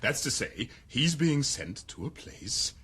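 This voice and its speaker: An actor with a British accent playing an urbane, powerful male world controller who speaks in a measured, wry, condescending way, in a radio drama. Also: mid-range voice, sing-song, bright, forceful tone, breathy edge, exaggerated voice. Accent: British English.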